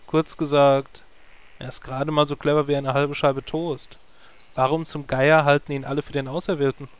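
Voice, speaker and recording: A man's voice: fairly high pitch, little pitch movement, smooth, lightly acted. An actor playing a vain young male druid hero with a wry, sarcastic way of talking in a comedy audio drama. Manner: wry, sarcastic, quick asides.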